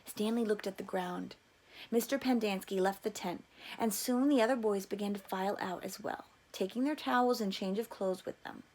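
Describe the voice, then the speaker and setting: A woman's voice: mid-range voice, moderate pace, darkish tone. A woman reading a children's novel aloud as a teacher would.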